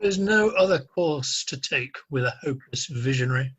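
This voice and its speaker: A man's voice: medium pitch, lively intonation, moderate pace, a bit bright, muffled recording, slight background noise, natural voice. A man playing a fussy, self-important doctor in an amateur comic radio play, with pompous theatrical delivery. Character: fussy, self-important mad-doctor, echoing his colleague. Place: home, recorded over a video call.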